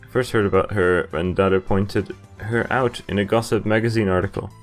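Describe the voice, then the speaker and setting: Low-voiced young man doing a let's play. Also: steady tone, brisk, faint music behind. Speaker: gaming video host. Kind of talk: let's play, reading game narration aloud with casual asides. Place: indoor room, webcam in corner.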